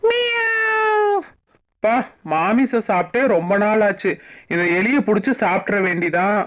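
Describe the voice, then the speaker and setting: A woman's voice: sing-song, unhurried, lo-fi sound, a little hiss or hum. A woman voicing a greedy, predatory cat in a cartoon animal story, boastful and threatening, with meows. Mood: hungry, scheming. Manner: gloating, threatening, with high meows.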